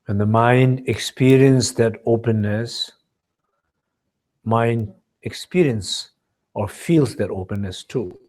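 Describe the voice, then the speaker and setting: A man leads a guided meditation as a teacher, speaking in measured short phrases with pauses between them. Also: mid-range voice, a little mellow. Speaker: meditation teacher leading a retreat.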